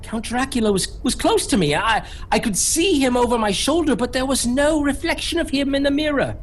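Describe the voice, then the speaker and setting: An actor playing a nervous English gentleman held captive in a horror audio drama, halting and stammering as he speaks. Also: extremely high pitch, lively intonation, slight background noise. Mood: frightened. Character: anxious English gentleman trapped in a vampire's castle. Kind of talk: theatrical horror audio drama narration and acting. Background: music.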